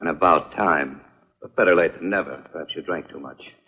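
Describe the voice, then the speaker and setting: An actor dubbing a gruff, sceptical henchman in a period film, husky, dry and sardonic. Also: extremely low pitch, a little fast, bright, forceful tone, full character voice. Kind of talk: dubbed period film acting. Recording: muffled, long hall reverb, music behind.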